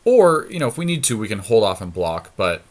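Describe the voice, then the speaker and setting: A man's voice: on the low side, sing-song, brisk. A man co-hosting a podcast, chatting casually about card game strategy and thinking his choices through aloud. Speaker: podcast co-host.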